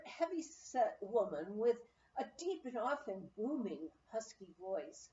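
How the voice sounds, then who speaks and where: Animated high-voiced woman reading aloud. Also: far from the mic, a little hiss or hum. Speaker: audiobook reader. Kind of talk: expressive memoir narration, reading aloud. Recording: muffled, roomy, off-mic amateur recording.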